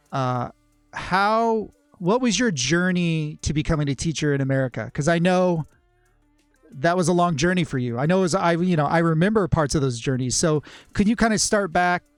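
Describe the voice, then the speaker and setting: A man's voice: a little high, dry recording. A man hosting a podcast, asking questions in a casual, conversational interview style. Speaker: podcast host.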